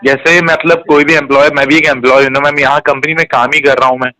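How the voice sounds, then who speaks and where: A man's voice: medium pitch, flat delivery, fast, pushed and bright. A man working as a sales executive, placating a customer on a phone call in a polite, defensive way. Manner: polite, defensive, repeatedly interjecting.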